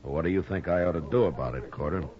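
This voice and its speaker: An American man playing a steady, tough frontier marshal in a 1950s radio western, terse, commanding and understated. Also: rumbling low, fast. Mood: stern, commanding. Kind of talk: 1950s radio western acting, with first-person narration. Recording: dull vintage radio recording with music.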